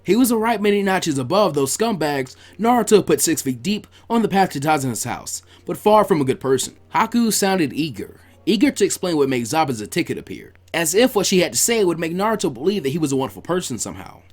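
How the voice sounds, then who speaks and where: A man reading fan fiction aloud as an expressive story narrator. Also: on the high side, quick pace, few pauses.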